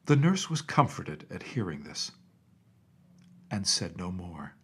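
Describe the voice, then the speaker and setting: A man's voice: low voice, very animated, slow pace, husky. A man narrating a children's storybook from a script as an audiobook reader.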